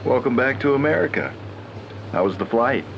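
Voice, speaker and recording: An actor playing a calm, playful male handler who talks over the phone in a video game. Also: medium pitch, normal speed, vocal fry, dull audio, music in the background. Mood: teasing. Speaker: game character. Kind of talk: video game cutscene acting.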